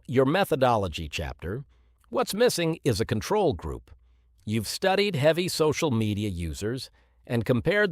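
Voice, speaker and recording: Male actor as authoritative professor, critical and precise, highly varied intonation, dry close sound. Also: dry recording. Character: male professor supervising students, exacting and measured. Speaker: academic supervisor. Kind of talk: scripted exam listening dialogue.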